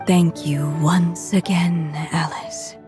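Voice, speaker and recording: A woman voicing a gentle dying woman in a video game, weak and breathy. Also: on the low side, steady tone, takes it slowly, over music. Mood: tender, fading.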